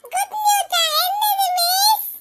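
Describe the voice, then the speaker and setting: Little schoolgirl, cartoon, excitable, childish, very high, slow, sharp and bright. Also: heavy background noise. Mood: excited. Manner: childish, sing-song complaining and boasting.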